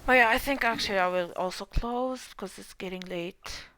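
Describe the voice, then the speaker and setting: A woman's voice: medium pitch, moderate pace, sharp tone, a little hiss or hum, rough recording. Chatty young woman streaming a game. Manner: chatty, trailing off, easily surprised. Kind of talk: casual live commentary while gaming. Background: faint game music.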